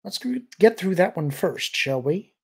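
A man's voice: high-pitched, animated, measured pace, creaky, slightly rough, voice pushed up, full character voice. A man voicing a wry, pragmatic woman adventurer in an audiobook, dry and matter-of-fact.

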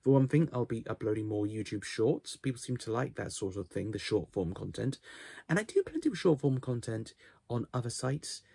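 Husky, creaky-voiced young man vlogging. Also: mid-range voice, sing-song, normal speed, mellow, no room sound. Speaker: YouTuber giving a channel update. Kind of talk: casual vlog talk to camera. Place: small cluttered bedroom, indoors.

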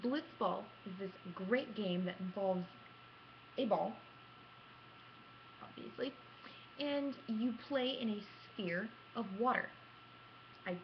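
A young adult woman tells stories casually to camera in a theatrical, self-mocking manner, recorded on a webcam in a room at home. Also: medium pitch. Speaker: gaming vlogger. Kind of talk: casual animated storytelling to camera. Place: small room at home, indoors. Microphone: computer webcam at arm's length. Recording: dull lo-fi webcam sound with clear noise.

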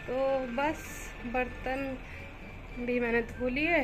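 A young woman with a Rajasthani Hindi accent chats to her viewers in a slightly high voice while doing chores. She is recorded on a phone in an outdoor village courtyard. Background music plays clearly under her voice. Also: fairly even intonation, takes it slowly, low-quality recording. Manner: chatty, addresses viewers directly. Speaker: vlogger. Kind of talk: casual vlog narration.

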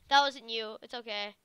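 A woman's voice: fairly high pitch, fairly even intonation, measured pace, a bit bright. A young woman chatting casually while playing a video game, as host of a gaming video. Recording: clicky, noisy home gaming recording.

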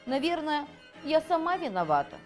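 A woman's voice: high voice, big pitch swings, normal speed, faint music behind, pitched above the performer's own voice. A woman voicing a small, shy, lonely young female frog, timid and plaintive, in a storybook tale. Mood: sad, timid.

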